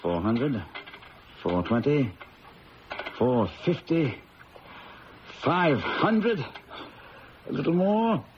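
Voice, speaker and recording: A man's voice: fairly high pitch, animated, very slow, long pauses. An actor playing a worn-out, desperate diamond firm manager in a radio drama, confiding his story. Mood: brisk. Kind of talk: 1970s radio drama acting. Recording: muffled old radio broadcast with faint hiss.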